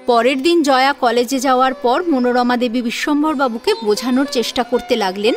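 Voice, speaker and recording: High-pitched woman narrating a drama. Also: brisk, soft background music. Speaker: narrator and voice actor. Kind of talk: dramatic scripted storytelling.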